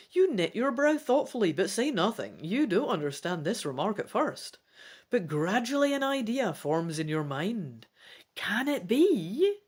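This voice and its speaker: A woman reading a fantasy gamebook aloud as its player, in an expressive, theatrical storytelling style. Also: mid-range voice. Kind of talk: dramatic storybook narration with casual asides.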